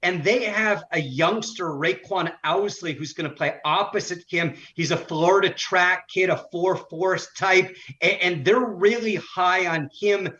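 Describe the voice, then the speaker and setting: A man's voice: sits high, a little slow, few pauses, bright, forceful tone, muffled recording. A man who works as a sports broadcaster, giving conversational analysis as an interview guest.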